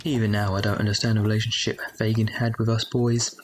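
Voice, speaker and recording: An actor playing a grown orphan man recalling his boyhood among thieves, in reflective, measured first-person audio drama narration. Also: on the low side, flat delivery, a little hiss or hum, close to an everyday voice.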